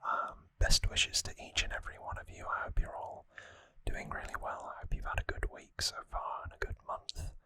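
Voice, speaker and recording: An ASMR host speaking in a breathy, unvoiced whisper, gentle and earnest, slightly rambling to viewers, close on the microphone. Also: normal speed, few pauses. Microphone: close microphone in a dry space.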